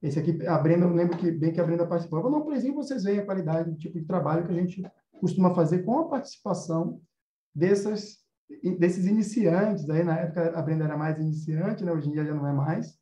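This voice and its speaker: A man's voice: fairly high pitch, fast, mellow, slight background noise. A Brazilian man teaching and hosting in a casual, explanatory way. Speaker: host, animation course teacher. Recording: roomy, slightly dull sound.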